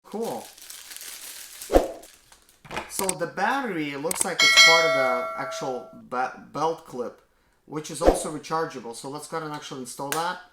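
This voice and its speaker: A man casually unboxing a gadget on his vlog, often exclaiming with excitement. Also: a little high, moderate pace, long pauses, loud music behind the voice. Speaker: mobile mechanic YouTuber. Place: indoors at home. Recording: echoey room with faint noise.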